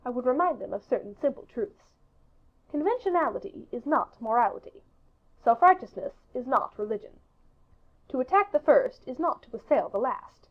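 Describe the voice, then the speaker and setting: A woman's voice: sits high, lively intonation, moderate pace, muffled recording. A woman reading a literary audiobook in a formal, precise and emphatic way. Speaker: audiobook narrator. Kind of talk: scripted literary audiobook narration.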